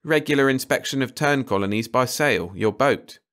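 A man voicing a brisk, enthusiastic, absent-minded ecologist in clipped phrases for an audiobook. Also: mid-range voice, a bit bright, voice pushed up, close to an everyday voice. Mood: brisk, businesslike. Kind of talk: audiobook character dialogue.

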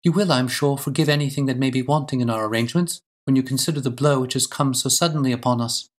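Anxious, insistent Victorian wife for audiobook, male reader, raised pitch, formal and emphatic. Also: fast, natural voice. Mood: gracious. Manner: formal, emphatic, precise diction. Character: earnest, composed but anxious Victorian wife, pleading and insistent. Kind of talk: audiobook character dialogue.

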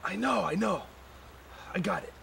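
A man playing a cocky, dim-witted, crude rock musician who tells boastful, slangy stories in an animated comedy. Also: high voice, moderate pace, gravelly, slight background noise, full character voice. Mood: cocky, rambling. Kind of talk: animated comedy storytelling and banter.

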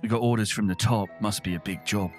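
A man's voice: on the low side, fairly even intonation, fast talker, darkish tone, faint music behind, natural voice. A man voicing a brash, dutiful pixie squaddie in a tabletop game. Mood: dutiful, earnest.

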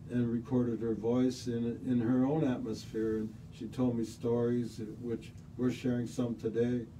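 Dark-voiced man chatting in a radio studio. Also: mid-range voice, a little hiss or hum. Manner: relaxed, rambling with many fillers. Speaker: studio guest musician. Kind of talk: casual radio chat. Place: small indoor radio studio. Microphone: studio mic on stand, headphones on. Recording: slightly distant mic.

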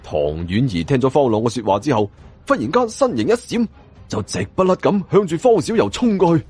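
Male Cantonese audiobook narrator, dramatic delivery with animated, wide-swinging intonation over background music. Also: a little high, brisk, husky. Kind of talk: dramatic scripted first-person story narration.

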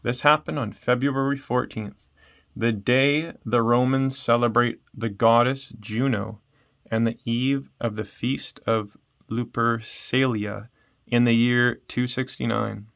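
Male storybook narrator, thirties, mid-pitched, read-aloud delivery. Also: steady tone, slow pace, creaky, fairly bright tone. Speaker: storybook reader. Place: small room indoors at home.

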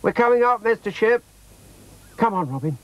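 Man putting on an eager, high-pitched little child. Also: sing-song, normal speed, muffled recording. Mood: excited calling. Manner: bright, inquisitive, polite. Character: eager, curious little children, boy and girl. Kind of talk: children's storybook character voice.

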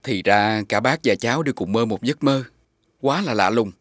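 An actor playing an earnest, devoted, respectful young man in an animated fairy tale. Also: medium pitch, moderate pace, no echo, clean studio sound, natural voice. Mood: thoughtful.

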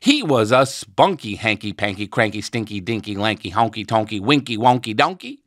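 A man narrating an expressive read-aloud for children as a storybook reader. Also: fairly low pitch, normal speed, very noisy.

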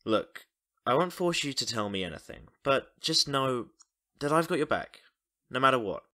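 Male actor as easygoing young buddy: mid-pitched, lively intonation, casual, unhurried. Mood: reassuring. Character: easygoing, loyal, sleepy young guy. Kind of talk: naturalistic audio drama dialogue.

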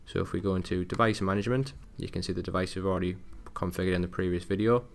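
Low, gravelly tech tutorial man. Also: fairly even intonation, fast. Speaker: technical support presenter. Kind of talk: casual step-by-step software tutorial narration.

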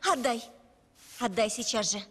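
A woman playing a determined young girl who dances, earnest and defiant, in a dubbed animated film. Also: a little high, normal speed, husky. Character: plucky, determined young orphan-like girl who loves dancing. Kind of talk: animated film dub acting.

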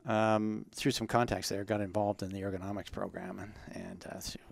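Interview guest, man in his 60s, from Ontario; measured, halting delivery, dry close sound. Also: fairly low pitch, level tone, gravelly, no echo. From Ontario, Canada. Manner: measured, hesitant, many ums and uhs. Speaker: guest, ergonomist. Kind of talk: interview answers.

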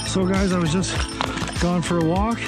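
A young man chatting excitedly to viewers while ice fishing, recorded inside a small tent on a body-worn camera. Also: high-pitched, moderate pace, over loud music. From Canada. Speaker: fishing vlogger. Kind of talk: casual first-person narration while fishing. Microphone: action camera worn on the body.

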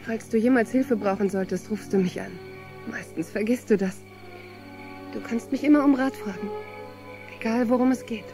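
An actress playing a warm, caring woman scientist and old friend in dubbed film dialogue. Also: a little high, moderate pace, long pauses, music in the background, lightly acted. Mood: affectionate, sincere.

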